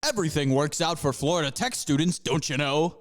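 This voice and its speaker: A man's voice: on the high side, animated, brisk, natural voice. A man voicing a cheerful male panther mascot who is a scientist, lecturing his students in a proud, upbeat way. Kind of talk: amateur comedy audio drama.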